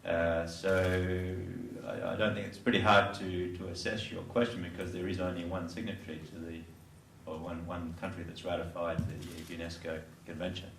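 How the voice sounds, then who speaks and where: Flat, deep-voiced man from a distance. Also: normal speed. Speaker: panelist, shipwreck expert. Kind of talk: answering a panel question. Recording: distant, echoey hall with noise.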